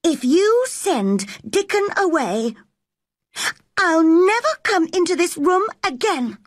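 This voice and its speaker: A cross, stubborn young girl as a woman narrator plays her, high in pitch with lively swings. She is defiant and sharp-tongued, a storybook child who will not back down. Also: slow, no room sound, clean studio sound, full character voice. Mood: defiant, angry.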